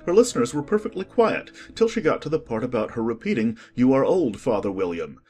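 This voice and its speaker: Expressive storybook narrator, man. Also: mid-range voice, a little fast.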